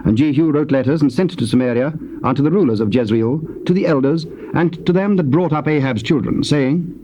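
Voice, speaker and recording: A man's voice: a little high, fairly quick, warm tone. A man with a British accent narrating dramatised scripture in a solemn storytelling style. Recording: noisy old cassette tape recording.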